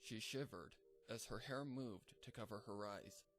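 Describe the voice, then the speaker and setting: A man narrating a fanfic as a measured, somber scripted reading. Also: lowish voice, fairly bright tone, soft background music.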